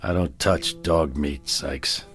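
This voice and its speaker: An actor playing a stern, principled western sheriff, gruff and scornful, in a vintage television drama. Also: male voice, a bass voice, fairly even intonation, slow, a bit bright, faint music behind, full character voice. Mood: cold contempt. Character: stern, contemptuous, principled Old West sheriff.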